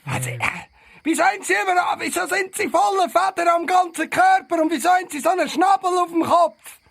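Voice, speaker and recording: A man with a Swiss German accent playing an excitable, self-important, bossy detective with a creaky voice in a children's audio play. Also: very high voice, a little fast, exaggerated voice. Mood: baffled, questioning. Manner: bossy, repeating himself, dramatic.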